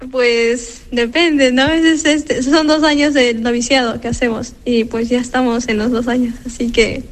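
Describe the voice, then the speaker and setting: A woman's voice: a little high, steady tone, normal speed. A young woman from Ecuador, a novice nun, giving casual answers in an interview.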